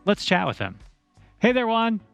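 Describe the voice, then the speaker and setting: A man hosting an interview, reading a scripted introduction and questions in a measured way. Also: high voice, huge pitch swings, vocal fry, a bit bright, clear, resonant tone. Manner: measured, read-from-script delivery. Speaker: interview host. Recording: dry close mic with faint music.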